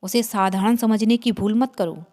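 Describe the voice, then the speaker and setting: A woman's voice: mid-range voice, moderate pace, mellow, natural voice. A woman storyteller voicing a wary female vampire in a horror story, with a grave, cautioning manner. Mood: grave warning.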